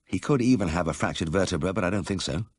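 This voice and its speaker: Man playing a courteous, animated country vet. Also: deep voice, fast, slightly rough, dry recording, studio quality. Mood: careful, explanatory. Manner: courteous, explanatory. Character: kindly, careful country vet, polite and measured man.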